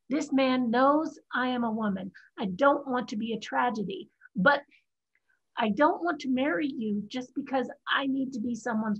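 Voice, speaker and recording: Woman playing husky, higher-pitched practical young woman. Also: fairly bright tone, heavily acted. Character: Ying Tai, practical, defiant young Chinese woman. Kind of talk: staged reading of a stage drama. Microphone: video call. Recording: dull video-call audio.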